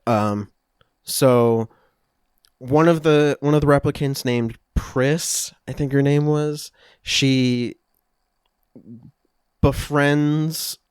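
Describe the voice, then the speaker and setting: A man co-hosting a podcast, casually recapping a film to friends in a halting, conversational way. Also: medium pitch, fairly even intonation, smooth, no echo, clean studio sound. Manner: halting, loosely conversational storytelling. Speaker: podcast co-host.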